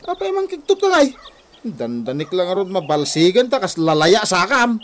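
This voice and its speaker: Animated, teasing woodcutter. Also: male voice, high voice, moderate pace, a little hiss or hum. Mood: startled teasing. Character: teasing, easygoing male woodcutter. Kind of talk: Ilocano radio comedy drama. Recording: music under radio drama dialogue.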